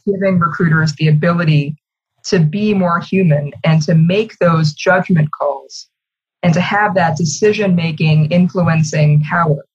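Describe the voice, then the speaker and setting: A woman's voice: on the low side, unhurried, slightly rough, lo-fi sound. A woman giving articulate, emphatic interview answers in polished corporate phrasing over a video call. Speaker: communications executive, interview guest.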